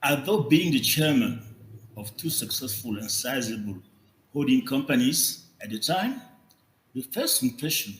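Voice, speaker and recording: Cameroonian-accented male tribute speaker, mid-pitched, formal and deliberate, in an echoey room. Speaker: funeral tribute speaker, business executive. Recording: roomy, echoing speech recording.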